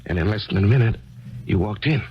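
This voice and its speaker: An actor in a 1970s radio drama playing a grieving, bewildered writer who stammers and keeps pressing with questions. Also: male voice, fairly low pitch, fast, slightly rough. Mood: flustered, prying. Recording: dull lo-fi archival radio broadcast.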